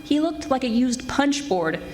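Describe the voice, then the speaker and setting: A woman playing a wisecracking, brisk private detective in 1940s radio style, wry and snappy. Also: sits high, big pitch swings, a little mellow, slight background noise. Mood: urgent, businesslike.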